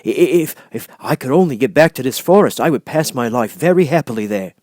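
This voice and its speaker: A man's voice: medium pitch, expressive, fairly quick, darkish tone, no echo, studio quality, pitched above the performer's own voice, lightly acted. A man voicing a big shaggy, timid lion in a storybook, hesitant, stammering and earnest.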